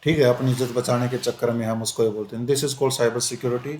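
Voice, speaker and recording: A man's voice: medium pitch, fairly even intonation, darkish tone. A male teacher giving a conversational exam-prep lecture, switching between Hindi and English and repeating "okay" as a filler. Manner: conversational, repeats "ठीक है" often, switches into slow English phrases. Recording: echoey room with faint ambient noise.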